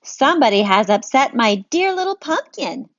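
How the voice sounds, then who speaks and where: Doting upset storybook mom voiced by a woman, emphatic, high and pushed, dry lo-fi. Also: very animated, moderate pace, no echo. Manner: emphatic, fussing exclamations. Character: doting, upset mother fussing over her pet. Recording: dry, dull lo-fi sound.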